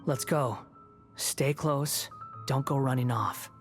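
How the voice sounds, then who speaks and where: A man's voice: mid-range voice, slow pace, over music, lightly acted. Smug, sardonic young man played by an actor. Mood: cool, commanding. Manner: snide, condescending, cool. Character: smug, sardonic young male prisoner on temporary release. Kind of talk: video game voice acting.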